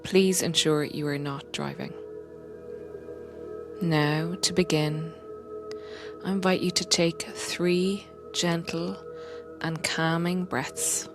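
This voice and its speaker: Woman, slightly low pitch, guided meditation, slow soothing instruction, gentle breathy softness, faint music behind. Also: fairly even intonation. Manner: gentle, unhurried, often dropping to breathy whisper. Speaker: meditation guide and coach, podcast host.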